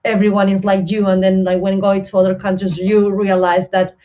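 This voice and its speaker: A woman's voice: medium pitch, level tone, fairly quick, mellow. A woman from Argentina with an Argentine Spanish accent, chatting in a friendly, rambling way as a podcast guest. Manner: friendly, rambling, filler-heavy. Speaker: interview guest, service designer. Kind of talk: casual interview chat.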